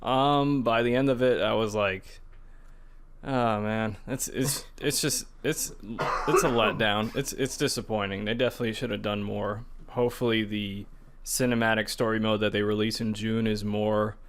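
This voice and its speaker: A man's voice: fairly low pitch, fairly even intonation, normal speed. A man co-hosting a podcast, chatting casually in a hesitant, loosely rambling way. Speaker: podcast co-host. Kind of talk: casual chat about video games.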